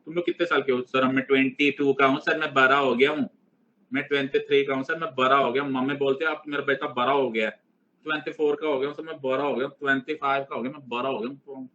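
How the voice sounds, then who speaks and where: A man's voice: mid-range voice, fairly even intonation, fast, pushed and bright, muffled recording, a little hiss or hum. A middle-aged man teaching an online exam-prep class, playful and teasing as he switches between Hindi and English. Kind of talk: live online class lecture.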